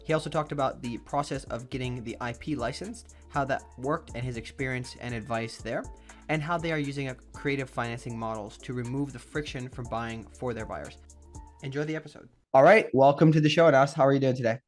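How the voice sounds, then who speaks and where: A man's voice: mid-range voice, steady tone, brisk, faint music behind. A man hosting a podcast, reading a scripted intro and then asking upbeat, rambling interview questions. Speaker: podcast host.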